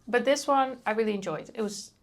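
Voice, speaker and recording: A woman's voice: on the high side, a little fast. A young woman from the Netherlands with a Dutch accent, chatting casually to camera as a travel vlogger, with frequent ums and likes.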